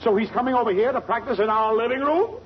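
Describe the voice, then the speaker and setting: A man's voice: extremely high pitch, quick pace. A man playing a blustering, exasperated family father with emphatic, sputtering delivery in a 1940s radio comedy. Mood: outraged. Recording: dull, noisy 1940s radio recording.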